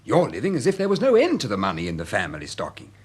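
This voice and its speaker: An actor playing a good-hearted, plain-spoken young student with an upper-class English accent in a period radio drama. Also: male voice, on the low side, wildly swooping pitch, fast, slightly rough, exaggerated voice. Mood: concerned, chiding. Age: young adult.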